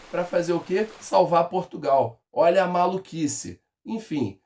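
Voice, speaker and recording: A man's voice: high-pitched, a little mellow. A man with a Carioca accent teaching a casual history review, telling it as a joking story full of slang. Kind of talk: casual exam review lesson.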